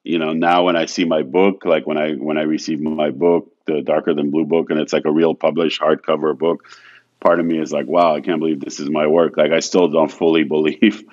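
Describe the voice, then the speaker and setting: A man's voice: very deep voice, little pitch movement, brisk, dull audio. A man from France, a photographer, talking casually and hesitantly as an interview guest, with many restarts. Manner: soft-spoken, hesitant, many restarts and "you know".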